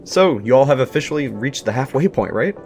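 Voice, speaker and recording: A man's voice: mid-range voice, expressive, a little fast, darkish tone. A man hosting a casual interview, speaking politely and a little hesitantly. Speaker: talk show host, interviewer. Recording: music under the voice.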